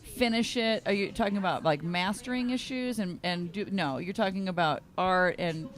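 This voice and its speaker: A woman's voice: medium pitch, normal speed. Enthusiastic woman show host. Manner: enthusiastic, affirming. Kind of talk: casual interview chat. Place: radio show studio.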